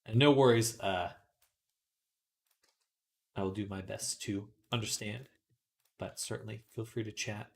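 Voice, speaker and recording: A man's voice: fairly low pitch, a little slow, creaky, pauses often. A man hosting a livestream in casual tutorial style, muttering to himself as he works and chatting with viewers. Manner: mutters to himself, then addresses viewers brightly. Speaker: livestream host, 3D artist. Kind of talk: casual livestream tutorial chat while working. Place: indoors at a computer desk.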